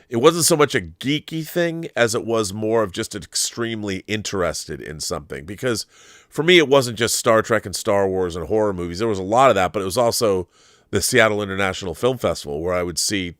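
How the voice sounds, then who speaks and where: A man's voice: low voice, a bit bright, no room sound, studio quality. A middle-aged American man talking casually on a livestream, rambling conversationally and often restarting his sentences as he goes. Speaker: host of a live YouTube show. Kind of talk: casual livestream monologue answering viewer comments.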